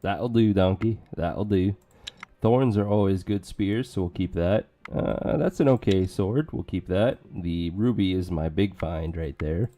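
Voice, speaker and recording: A man casually commentating a strategy game with joking asides, his voice going hoarse. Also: sits low, unhurried, dark tone. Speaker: let's play commentator.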